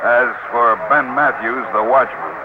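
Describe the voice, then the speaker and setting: A man's voice: medium pitch, unhurried, lightly acted. Sarcastic police lieutenant played by a man, crackly radio. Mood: blunt, insistent. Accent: American. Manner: blunt, needling. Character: hard-nosed, sarcastic, accusing American police lieutenant. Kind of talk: 1950s radio acting. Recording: noisy old radio recording, narrow band.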